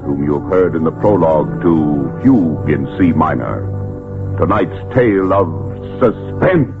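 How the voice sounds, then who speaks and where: A man's voice: rumbling low, animated, unhurried. A man announcing a 1940s suspense radio show in a formal, dramatic, portentous style. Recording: muffled vintage radio with music.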